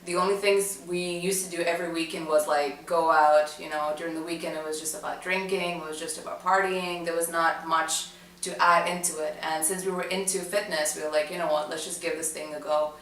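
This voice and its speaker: A woman's voice: sits low, level tone, brisk, a bit bright, recorded from a distance, slight background noise. Young woman recalling a race, echoey. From Dubai. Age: young adult. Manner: chatty, many fillers and restarts. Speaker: student interviewee. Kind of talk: casual recollection to camera.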